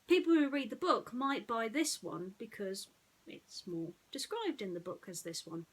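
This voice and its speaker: A woman's voice: fairly high pitch, big pitch swings, moderate pace, breathy edge. A woman storyteller narrating a bedtime story in a chatty way, putting questions to her listeners. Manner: addresses listeners with rhetorical questions.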